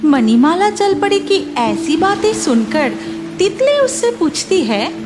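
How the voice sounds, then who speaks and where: High, animated woman narrating a children's story. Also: slow pace, loud music behind the voice.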